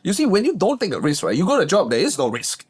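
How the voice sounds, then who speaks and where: A man, a guest business coach telling his backstory in a lively, emphatic way. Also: fast, slightly rough. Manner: animated, emphatic, swings pitch up for punchlines. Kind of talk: casual interview storytelling.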